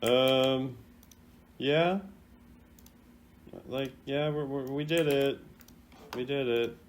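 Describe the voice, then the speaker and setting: A man's voice: mid-range voice, steady tone, extremely slow, slight background noise. A man giving casual, off-the-cuff commentary as a video host, rambling and playfully opinionated. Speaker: video host rating game voice lines.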